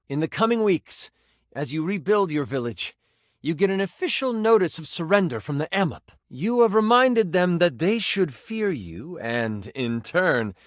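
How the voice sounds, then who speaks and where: Game master for a video game: higher-pitched, very animated, eager and geeky, dramatic fantasy narration. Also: male voice, no echo, studio quality. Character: excitable, encouraging male tabletop game master, a personified die. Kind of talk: dramatic tabletop fantasy narration with playful asides.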